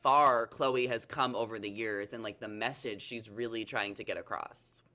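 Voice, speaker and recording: A man's voice: on the low side, fairly even intonation, normal speed, sharp tone. A man hosting an entertainment recap show in a chatty style, leading the discussion and handing over to panelists.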